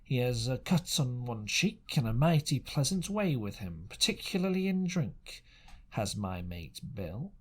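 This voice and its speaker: A man voicing a menacing, fawning and sneering seafaring stranger in an adventure storybook, with drawling, wheedling sailor talk and sly pauses. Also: mid-range voice, very animated, slightly rough. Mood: sly, leering. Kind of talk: audiobook character voice.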